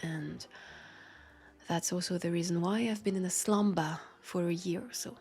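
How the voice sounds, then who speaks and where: A woman with a French accent playing a wary, grieving, centuries-old vampire detective in an intimate audio roleplay, speaking softly and pensively with pauses. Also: lowish voice, steady tone. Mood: grieving, hesitant. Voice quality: breathy, soft. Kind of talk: intimate scripted audio roleplay, addressed to listener. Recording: music under the voice. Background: music.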